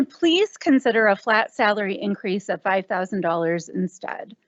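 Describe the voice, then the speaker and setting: A woman with a naturally loud voice, a parent, reading a direct prepared comment at a podium microphone. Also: medium pitch, dry recording. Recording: dull lo-fi meeting audio.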